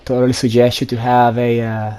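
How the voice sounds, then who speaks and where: A man giving casual, low-key gameplay commentary in muttered asides, as a player does while playing. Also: monotone, brisk, mellow, smooth. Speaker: video game player commentating. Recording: background noise, game ambience. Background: game sounds.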